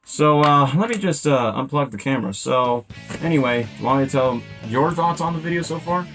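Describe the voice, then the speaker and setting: A man's voice: fairly high pitch, lively intonation, moderate pace, a bit bright, faint music behind. A man hosting a reaction video, talking casually and enthusiastically as he comments.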